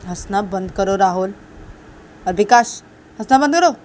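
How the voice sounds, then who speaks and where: A woman's voice: lowish voice, normal speed, mellow, close to an everyday voice. Woman voicing tender, insistent young woman, noisy room. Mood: annoyed. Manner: insistent, repeating, tag questions. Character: affectionate young woman soothing and scolding her crying partner.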